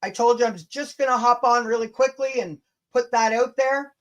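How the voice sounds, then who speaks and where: A woman's voice: fairly high pitch, moderate pace, muffled recording. A woman hosting a casual livestream rant, blunt, combative and sweary, with chatty asides to her viewers. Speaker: livestream host.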